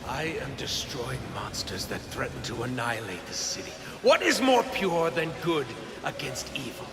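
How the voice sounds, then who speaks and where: An actor playing an arrogant, obsessed scientist villain in a video game cutscene, grandiose and menacing. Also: male voice, medium pitch, big pitch swings, moderate pace, long reverb tail, background noise. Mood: righteous, scornful.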